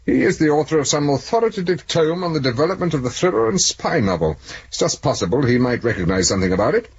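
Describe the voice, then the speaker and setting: An actor playing a brusque police superintendent, dry and sardonic, in 1970s radio drama style. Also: male voice, on the high side, sing-song, fast, husky, highs cut off, heavily acted. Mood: brisk.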